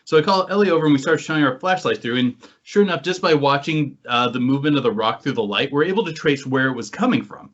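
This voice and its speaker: An American man hosting a podcast, telling anecdotes in a casual, animated storytelling way. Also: medium pitch, quick pace, hardly any pauses. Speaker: podcast host and paranormal investigator. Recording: dull, lo-fi online call audio.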